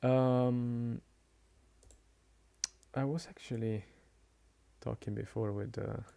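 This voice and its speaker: Man, quiet voice, very slow, hesitant, fillers and restarts, live-stream commentator. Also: mid-range voice, fairly even intonation, gravelly. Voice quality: quiet, soft-spoken. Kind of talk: casual live-stream chat.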